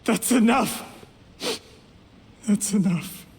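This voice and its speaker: A man's voice: sits high, drawn-out words, creaky, background noise, heavily acted. An actor playing a scheming, ambitious man with a formal, over-polite manner in a video game cutscene. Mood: anguished. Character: scheming, ambitious male poisoner with a polished, courteous front. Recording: long reverb, music underneath.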